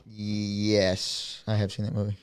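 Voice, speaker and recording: A man's voice: lowish voice, monotone, takes it slowly, clean tone. A young man co-hosting a podcast, chatting casually and laughing through his stories. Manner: jokey, laughs while telling stories. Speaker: podcast co-host. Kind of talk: casual chat.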